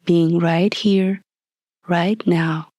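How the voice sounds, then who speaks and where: A woman leading a guided meditation as a scripted narration, soft and breathy, calm and soothing, with deliberate long pauses. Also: on the low side, dry recording, clean studio sound.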